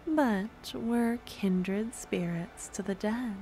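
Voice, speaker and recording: A woman playing a devoted, possessive young necromancer stalker in an ASMR roleplay, speaking softly and breathily with gentle, sweetly menacing coaxing. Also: mid-range voice, over music, low-quality recording. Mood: tender, curious. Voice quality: soft, often breathy and whispery. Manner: slow, cooing, gentle coaxing. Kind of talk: ASMR audio roleplay, close and intimate.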